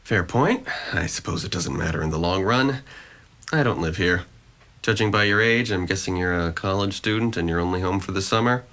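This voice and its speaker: A man's voice: low voice, fairly quick, fairly bright tone. A man acting a guarded, gloomy young man in an audio drama, with a dry, sarcastic, wordy delivery. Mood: dry, resigned. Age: young adult.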